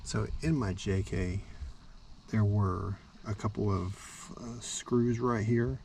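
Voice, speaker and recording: A man casually walking through a vehicle install outdoors, relaxed and practical, with lots of fillers and restarts. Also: lowish voice, slow pace, vocal fry, a little hiss or hum. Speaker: vlogger. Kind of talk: casual how-to walkthrough.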